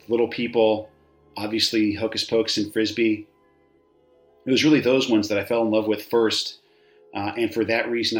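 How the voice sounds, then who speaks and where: A man with a fairly low voice shares informal thoughts as a podcast listener, often halting and doubling back on words. The room rings around him, with soft music underneath. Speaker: podcast listener giving a recorded contribution. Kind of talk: informal personal commentary. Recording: roomy echo, faint music underneath.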